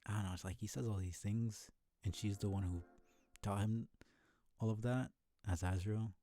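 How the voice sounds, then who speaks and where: A man's voice: fairly low pitch, steady tone, normal speed, dark tone, no echo. A man streaming a video game with casual, argumentative and sardonic running commentary. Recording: game music behind the voice.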